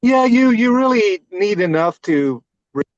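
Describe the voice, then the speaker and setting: A man on a video call asking quick checking questions, often restarting his words. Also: high-pitched, animated, no room sound, dull audio. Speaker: member of an accountability group. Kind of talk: casual video call chat.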